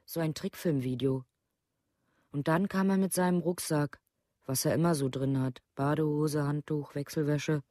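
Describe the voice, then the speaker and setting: A woman's voice: deep voice, fairly even intonation, normal speed, mellow, no echo, close to an everyday voice. Radio drama: grieving mother played by a woman, simple restrained recollection, very dry recording. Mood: restrained, wistful. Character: grieving village mother remembering her youngest son. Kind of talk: documentary radio drama monologue.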